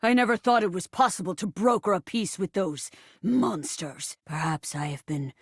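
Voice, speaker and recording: A woman's voice: fairly low pitch, normal speed, slightly rough, dry recording, clean studio sound. A woman voicing a proud alien warrior in a pulpy space play within a game, grand and melodramatic. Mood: solemn, grand. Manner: grand, theatrical, declamatory. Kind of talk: melodramatic sci-fi game voice acting.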